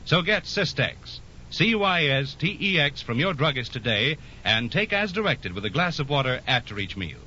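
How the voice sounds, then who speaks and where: A man's voice: a little high, big pitch swings, normal speed, bright, forceful tone. A man reading a scripted 1940s radio commercial as an announcer, with an emphatic, polished sales delivery. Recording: muffled 1940s radio recording, faint noise.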